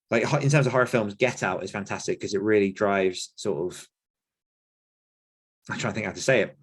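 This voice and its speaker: A man answering questions on a podcast in a casual, rambling way, often pausing to search for words. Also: lowish voice. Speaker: podcast host and horror author. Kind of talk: casual conversational Q&A.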